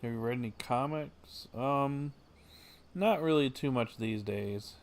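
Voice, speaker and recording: A man's voice: medium pitch, unhurried, gravelly. A man livestreaming a game, giving casual commentary and chatting as he plays. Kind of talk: casual live gaming commentary.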